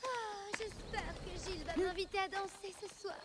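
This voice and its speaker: A woman's voice: very high voice, fairly even intonation, moderate pace, noisy background. A woman voicing a chatty, opinionated young girl in a French cartoon dub.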